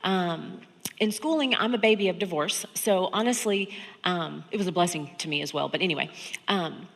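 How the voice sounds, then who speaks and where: A woman's voice: fairly low pitch, moderate pace, vocal fry. A woman in her thirties from Georgia with an American accent, sharing personal stories candidly and conversationally as a seminar speaker. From Georgia, United States. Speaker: seminar speaker and sex therapist. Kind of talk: informal seminar talk, personal storytelling. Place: on stage in an auditorium, seated at a high table. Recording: echoey hall, stage sound.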